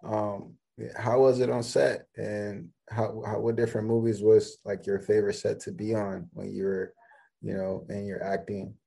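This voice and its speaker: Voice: deep voice, flat delivery, moderate pace, gravelly, warm tone, slightly rough. An interviewer asking loose, rambling questions with fillers in a casual podcast.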